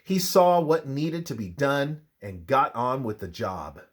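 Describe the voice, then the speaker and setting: A man narrates a scripted Bible devotional. His delivery is slow and measured, with a lively, varied intonation. He phrases each line deliberately, as a reader of religious study material. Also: mid-range voice.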